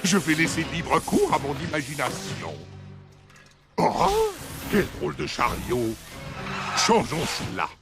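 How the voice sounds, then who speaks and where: A male actor voicing a gloating, grandiose villain, a megalomaniac puppet master in an animated film. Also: a little high, takes it slowly, gravelly, long pauses, heavily acted. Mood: triumphant. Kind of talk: animated film dub acting. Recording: loud music behind the voice.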